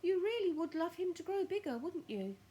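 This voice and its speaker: A woman voicing a shy, lovestruck man in a children's storybook, speaking politely in a measured, solemn way. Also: very high voice, big pitch swings, warm tone, voice pushed up. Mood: earnest, solemn.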